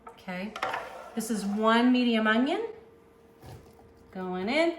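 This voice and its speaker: A woman with a Northeastern US accent hosts a casual cooking demonstration. Her voice sits a little high, and she chats upbeat as she works. The home kitchen gives it a roomy echo, with faint cooking sounds behind. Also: animated, slow pace, noisy background. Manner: chatty, upbeat, talks while working. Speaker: cooking channel host.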